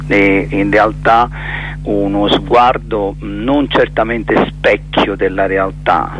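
A middle-aged man, speaking reflectively and haltingly as a theatre director interviewed over a telephone line. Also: fairly low pitch, fairly bright tone. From Naples, Italy. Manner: reflective, halting, repeats and rephrases words while searching. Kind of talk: spontaneous phone interview. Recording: telephone line with faint hiss and hum.

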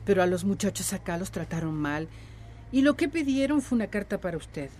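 A woman's voice: mid-range voice, big pitch swings, fairly quick, a little mellow, a little hiss or hum, lightly acted. A woman playing a capable, reassuring village activist and neighbour in a radio drama. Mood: earnest.

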